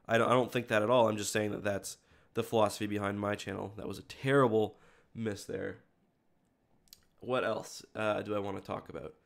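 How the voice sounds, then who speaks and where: A man's voice: fairly low pitch, gravelly. A man with a congested voice from a cold, chatting casually to livestream viewers in a rambling, self-correcting way. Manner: rambling, self-correcting, stop-start phrasing. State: has a cold, sniffly congested voice. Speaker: video creator hosting a livestream.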